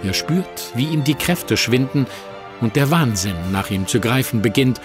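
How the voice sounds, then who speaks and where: A man narrating a German radio play in a steady, dramatic tone. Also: mid-range voice, a little slow, music in the background.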